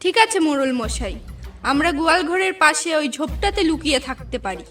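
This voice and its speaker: A woman's voice: sits high, animated, a little fast, a bit bright, recorded from a distance, faint music behind. A woman voicing an obliging young village daughter-in-law in a cartoon. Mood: agreeable. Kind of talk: Bengali cartoon dubbing.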